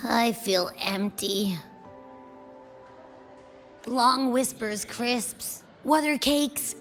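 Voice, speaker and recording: Bubbly game sidekick girl: bright, lively intonation, dreamy and dramatic, music underneath. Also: medium pitch, full character voice. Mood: wistful, hungry. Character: bubbly, food-loving, whimsical little companion girl. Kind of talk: video game voice acting.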